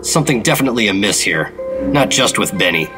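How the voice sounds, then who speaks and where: A guarded, deadpan secret agent of the 1950s played by a man in a noir radio play. He has a low voice and clipped, terse phrasing, with music clearly audible behind him. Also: fast, lightly acted. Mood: wary, deadpan. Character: wary, terse 1950s male secret agent narrating his case. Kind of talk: noir radio drama narration and dialogue.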